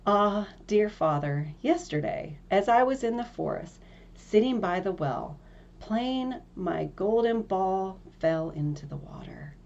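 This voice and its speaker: Lady doing a young princess from a storybook, snooty and easily scared, mellow voice. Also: medium pitch, expressive, slow pace, highs cut off. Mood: anxious, reluctant. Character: spoiled young princess, disdainful and easily frightened.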